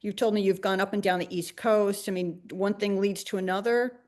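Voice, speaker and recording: A woman's voice: medium pitch, fairly even intonation, a little fast, a little mellow. Woman interviewer, rephrasing questions. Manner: asks stacked, rephrased questions.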